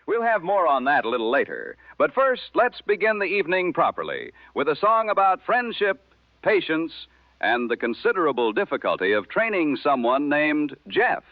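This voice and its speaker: Guy doing a genial old-time radio host, really swoopy pitch, courteous and wry, dull lo-fi sound. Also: big pitch swings, moderate pace, no room sound. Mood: wryly amused. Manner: courteous, smooth announcer delivery with dry understatement. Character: polished, genial male 1960s evening radio host. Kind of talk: 1960s radio broadcast acting.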